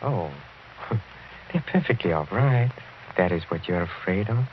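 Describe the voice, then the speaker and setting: Low-voiced, sinister courtly count. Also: male voice, expressive. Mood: courteous menace. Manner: suave, chuckling, deliberate menace with courteous hesitations. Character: sinister, courtly older count from a decadent noble family. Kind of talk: radio horror drama acting. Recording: dull, noisy old radio recording.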